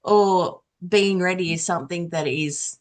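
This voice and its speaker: A woman's voice: fairly low pitch, a little slow. A woman moderating a panel, asking questions in a casual conversational way. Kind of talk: casual interviewing.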